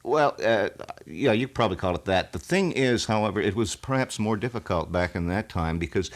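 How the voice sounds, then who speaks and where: A middle-aged man giving a casual radio interview as a station manager and talk host, speaking in a measured, reflective way and feeling for his phrases. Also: on the low side, animated. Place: small radio station studio.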